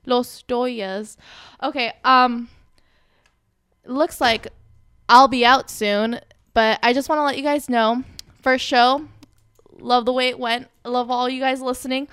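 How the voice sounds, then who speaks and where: A young woman hosts a casual radio show, chatty and joking, with a lot of filler words. Her voice is a bit high, smooth and clear. It is close to the microphone in a dry studio. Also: steady tone, a little slow, a bit bright, no room sound.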